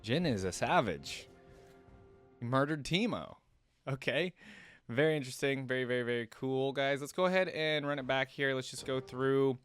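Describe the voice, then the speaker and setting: A man's voice: mid-range voice, moderate pace, a bit bright, clear, resonant tone. A man giving casual, rambling reaction commentary as a video host, thinking aloud. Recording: music playing behind.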